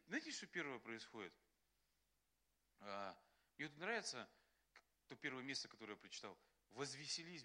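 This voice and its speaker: A man preaching a sermon as pastor, animated and acting out quotes, often laughing. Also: fairly high pitch. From Russia.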